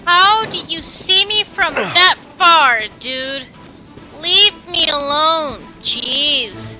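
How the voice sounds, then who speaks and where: High-pitched, slangy woman streaming a game. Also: extremely slow, pushed and bright, low-quality recording. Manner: slangy, muttering asides. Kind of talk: casual livestream reactions over gameplay. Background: game music.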